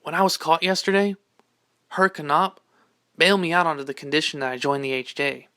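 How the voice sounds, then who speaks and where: Guy playing earnest teen boy in an audio drama, highish bright voice. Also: steady tone, a little fast, clear, resonant tone. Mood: earnest, pleading. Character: earnest teenage boy, a swing kid forced into uniform. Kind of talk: amateur audio drama acting.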